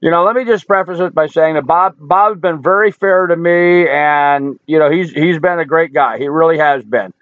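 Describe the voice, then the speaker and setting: An older man with a hoarse voice, greeting viewers one by one and chatting casually on his live stream. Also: fairly high pitch, normal speed, hardly any pauses, no echo, narrow, tinny audio. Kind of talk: casual live chat reading viewer comments. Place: home in rural Alaska.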